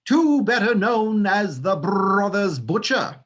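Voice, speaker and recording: A man playing a pompous old-time announcer, declaiming in grandiose, drawn-out, archaic oratory. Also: high voice, very animated, fairly bright tone, voice pushed up, full character voice. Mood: grandiose, dramatic.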